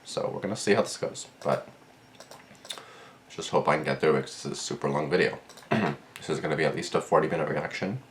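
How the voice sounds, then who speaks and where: A man's voice: rumbling low, a little fast, long pauses, slight background noise. A young man with a cold, sniffling as he hosts a casual reaction video, rambling with lots of fillers and sarcastic asides.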